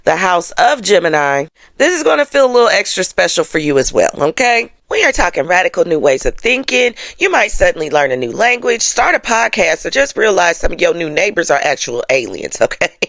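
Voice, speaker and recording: An American woman hosts an astrology show in a fairly low voice that swings expressively up and down. She talks straight to her viewers in slangy, emphatic, colloquial phrases. The sound is dry and close. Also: very animated, brisk, fairly bright tone, no room sound. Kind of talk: casual astrology commentary to viewers.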